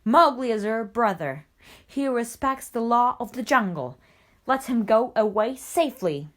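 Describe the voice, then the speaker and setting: A stern, loyal old wolf leader, voiced by a woman reading aloud. He speaks out loud and firm, slow and weighty. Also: a little high, animated.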